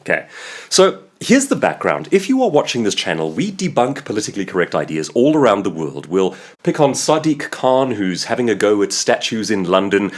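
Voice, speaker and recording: A man with a South African accent delivers a sarcastic commentary monologue as the host. His husky voice leaps up and down in pitch all the time, hammering his points home with heavy emphasis. He sounds like a man in his thirties. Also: sing-song, normal speed.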